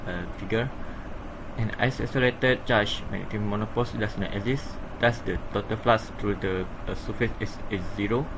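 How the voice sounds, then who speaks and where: Lowish young Malaysian man, noisy recording. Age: young adult. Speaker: student presenter. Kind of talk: scripted class presentation. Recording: clear background ambient noise.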